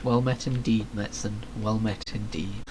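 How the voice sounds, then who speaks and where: Low-voiced male game streamer reacting. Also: steady tone, normal speed, noisy background. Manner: wry, informal, swears. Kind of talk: casual live reaction commentary.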